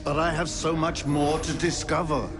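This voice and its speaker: An actor playing a proud, testy gentleman scientist in a villainous order, with a theatrical, formal manner in a game cutscene. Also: a little high, little pitch movement, normal speed, natural voice. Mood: resigned defiance. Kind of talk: video game cutscene acting. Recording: loud music behind.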